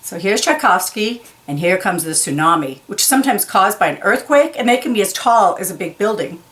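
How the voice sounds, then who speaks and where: A woman's voice: mid-range voice, animated, fairly bright tone. A woman reading a children's picture book aloud, carefully stressing key words and explaining them simply. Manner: slow, deliberate, enunciates key words for young listeners.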